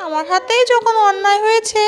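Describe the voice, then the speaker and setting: Woman voicing a very high-pitched cartoon demoness. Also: brisk, faint music behind. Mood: remorseful, pleading. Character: greedy but remorseful female demon. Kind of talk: Bengali children's cartoon voice acting.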